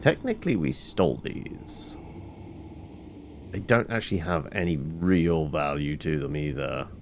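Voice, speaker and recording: A man's voice: extremely low pitch, animated, a little slow, slight background noise. A man giving casual commentary on his own video game, muttering to himself as he plays. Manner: muttering to himself while playing. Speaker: gamer narrating own playthrough.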